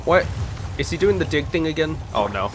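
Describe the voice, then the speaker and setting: A man's voice: fairly high pitch, lively intonation, a little fast. A man giving casual gameplay commentary, talking to the game as he plays. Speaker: let's play host. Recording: game music behind the voice. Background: video game music and game audio.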